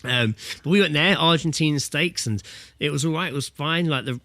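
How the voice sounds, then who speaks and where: Casual podcast co-host: southern English man, bright chatty voice, jokey rambling delivery, close and dry sound. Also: on the high side, normal speed, dry recording. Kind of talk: casual comedic podcast chat.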